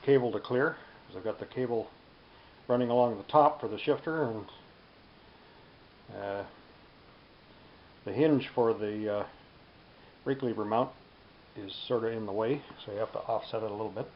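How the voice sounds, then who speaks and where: A man giving a casual, unscripted workshop demonstration, thinking aloud in a halting, unhurried way. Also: on the low side. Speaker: DIY bicycle tinkerer presenting a build. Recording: dull lo-fi sound with background noise.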